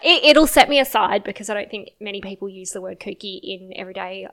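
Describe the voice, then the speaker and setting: A woman's voice: mid-range voice, quick pace, gravelly, fairly bright tone. An Australian woman co-hosting a podcast, chatty and giggly as she talks. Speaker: podcast co-host, film reviewer. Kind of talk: casual chat.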